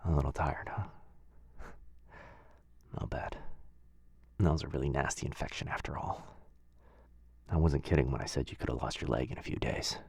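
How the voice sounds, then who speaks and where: A man playing a kind, caring wizard in a boyfriend ASMR roleplay, murmuring softly and a little hesitantly close to the microphone. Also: rumbling low, normal speed, long pauses, no echo, exaggerated voice. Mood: tender, caring. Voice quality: soft, breathy, husky. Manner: gentle, hesitant, murmuring, intimate. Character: kind, caring young male wizard, gently fussing. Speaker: audio roleplay voice performer. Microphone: close, dry microphone.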